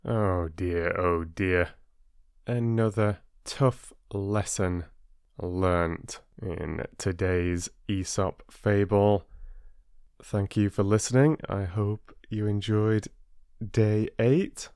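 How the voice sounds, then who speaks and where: A man working as a storyteller and host, speaking in an expressive, deliberate audiobook style. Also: fairly low pitch, clear, resonant tone, no room sound, studio quality. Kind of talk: expressive audiobook narration and listener address.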